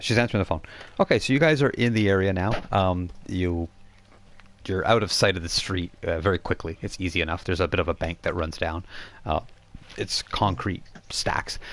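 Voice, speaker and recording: A man with a fairly low voice narrates a tabletop role-playing session as game master. He talks informally and haltingly, thinking aloud, over some background noise and clicks. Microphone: voice chat microphone on a live stream.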